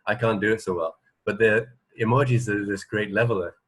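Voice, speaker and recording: A man's voice: fairly low pitch, fairly even intonation, a little fast, husky. A man co-hosting a radio show in casual chat, talking in a hesitant, searching way with repeated words and little check-in questions. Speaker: radio co-host.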